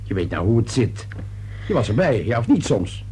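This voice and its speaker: An actor playing an irritable, defensive middle-aged businessman father in a radio play, with a gruff, impatient, blustering manner. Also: medium pitch, very animated, normal speed, darkish tone. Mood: defensive, irritated. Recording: noisy old radio play recording.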